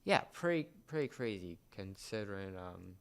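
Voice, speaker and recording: A young man co-hosting a casual podcast chat, speaking in a halting, rambling way and often repeating words. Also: on the low side, very animated, vocal fry, a bit bright, smooth. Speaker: podcast co-host.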